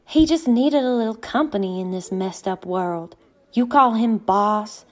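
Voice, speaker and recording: A woman playing a worldly Old West saloon woman who tells a slow, scornful story. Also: mid-range voice, natural voice. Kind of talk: hobby audio play acting.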